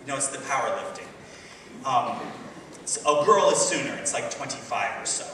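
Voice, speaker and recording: A man's voice: mid-range voice, animated, moderate pace, sharp tone. Chatty thirty-something man in an echoey hall. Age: 30s. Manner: chatty, self-deprecating, says okay and like often. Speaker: singer introducing a concert piece. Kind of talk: casual stage talk to an audience. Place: school auditorium on stage. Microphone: distant room mic. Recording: echoey hall, distant, with noise.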